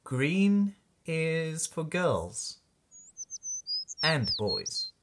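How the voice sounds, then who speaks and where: A storyteller reading a picture book aloud to young children with playful, deliberate, drawn-out phrasing. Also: mid-range voice, very animated, noisy background. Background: music and sound effects.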